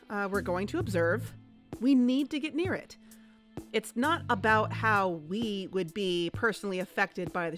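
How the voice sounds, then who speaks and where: A woman's voice: mid-range voice, fairly bright tone, natural voice. A woman playing an earnest young amateur investigator on a fiction podcast, serious and careful. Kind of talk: fiction-podcast dialogue and narration.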